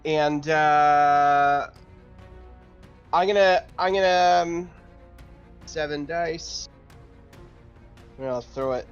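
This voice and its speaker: A man's voice: fairly high pitch, very slow, pauses often, clean tone. A man playing a tabletop game, chatting casually and tentatively, with some swearing. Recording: dull lo-fi sound with faint music.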